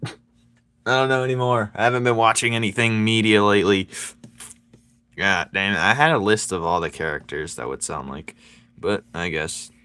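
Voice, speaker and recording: A man chatting casually with his viewers while he draws, playful and quick to laugh as he banters with them. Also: lowish voice, bright, forceful tone, clean tone, dry recording. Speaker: streaming artist. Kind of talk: casual chat while drawing.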